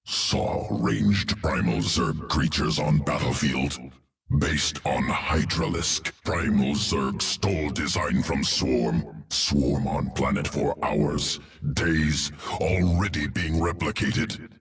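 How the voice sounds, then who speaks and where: Very deep, clinical alien creature. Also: male voice, slow pace, creaky, recorded from a distance, heavily acted. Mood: analytical. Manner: clipped telegraphic phrases, clinical. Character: cold, fussy alien bio-engineer creature, perfectionist. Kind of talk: video game character acting.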